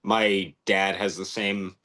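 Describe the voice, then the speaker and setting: Hesitant low-voiced man in his twenties. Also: little pitch movement, slow, sharp tone. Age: 20s. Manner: hesitant, many ums and restarts. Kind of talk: casual chat.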